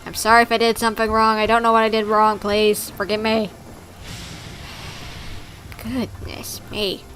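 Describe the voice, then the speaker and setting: A woman's voice: fairly high pitch, monotone, moderate pace, a bit bright, poor audio. A woman streamer giving casual, chatty live commentary on a game, with game ambience and some noise behind her. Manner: chatty, reacting aloud to the game.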